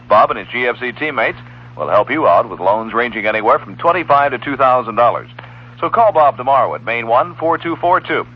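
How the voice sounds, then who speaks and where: A man delivers a vintage 1960s radio commercial in a folksy salesman's style. His husky voice swings widely in pitch as he sells. The recording is muffled, like an old broadcast. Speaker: commercial announcer. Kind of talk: 1960s radio advertisement read.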